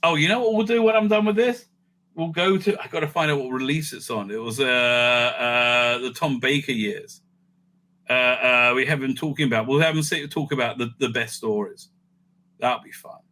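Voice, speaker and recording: A man giving casual, rambling fan commentary as he ranks things, excitable and sweary, sometimes muttering to himself. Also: medium pitch, normal speed. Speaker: host of a fan ranking show.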